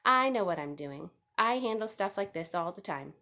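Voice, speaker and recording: A sharp-voiced storybook rat, played by a woman, male, shifty and sneering. He talks in a curt snarl, like someone with no morals at all. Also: mid-range voice, expressive, normal speed. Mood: snarling, defiant.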